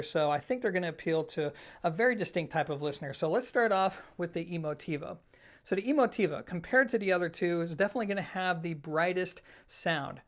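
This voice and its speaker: A reviewer presenting an informal product comparison, explaining things conversationally and speaking directly to viewers. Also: medium pitch. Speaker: host of an audio equipment review channel.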